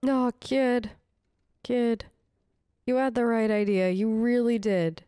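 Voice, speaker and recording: Female let's player, fairly high voice, casual role-play commentary, chatty, reassuring, recorded dry. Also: slow, warm tone, clear, resonant tone, no echo. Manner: chatty, reassuring, thinks aloud. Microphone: close microphone, dry voice-over.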